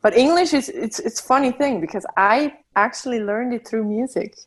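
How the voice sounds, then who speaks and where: A woman from Switzerland, a native Swiss German speaker with a Swiss German accent, talking as a guest in a relaxed interview and pausing now and then to find the right word. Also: a little high. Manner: thoughtful, searches for words. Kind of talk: casual interview conversation. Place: remote from her home.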